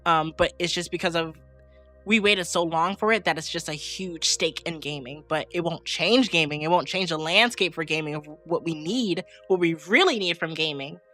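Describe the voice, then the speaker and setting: Bright-voiced woman podcaster with a slight cold. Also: fairly low pitch, normal speed, faint music behind. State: slight head cold with sniffles. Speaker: podcast co-host and game streamer. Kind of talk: casual unscripted podcast chat.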